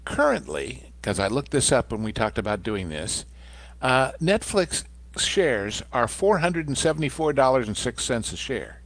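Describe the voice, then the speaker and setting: A man co-hosting a casual commentary show, animated and jokey, often restarting his words. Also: mid-range voice, normal speed. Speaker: podcast co-host.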